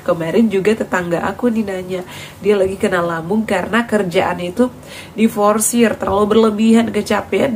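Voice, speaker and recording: A woman's voice: mid-range voice, a little mellow, slight background noise. A woman talking casually to her viewers in a health vlog, chatty and friendly, with frequent fillers and restarts. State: recovering from cough and lost voice. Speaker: vlogger.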